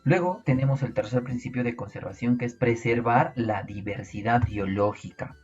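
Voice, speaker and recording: Peruvian guy teaching biology online, kinda breathy voice, keeps asking the class questions, faint music in back. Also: medium pitch, normal speed, a little mellow, highs cut off. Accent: Peruvian Spanish. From Peru. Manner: explanatory, asks rhetorical questions to students.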